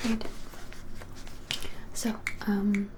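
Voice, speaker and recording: Casting: woman, 20s, breathy ASMR whisper, gentle hesitant shopkeeper roleplay, faint room hiss. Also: medium pitch, monotone, extremely slow. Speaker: ASMR creator playing a witch shop keeper. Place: small plain room indoors.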